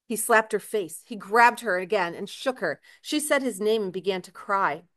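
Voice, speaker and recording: A woman reading a novel aloud in expressive literary narration. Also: medium pitch, moderate pace, dry recording, clean studio sound. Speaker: reader, author.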